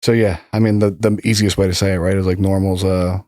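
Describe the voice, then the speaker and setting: A man's voice: low voice, level tone, fast, warm tone, dry recording, studio quality. A man in his thirties hosting a podcast, asking loose, rambling questions full of fillers. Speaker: podcast host. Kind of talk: conversational interview.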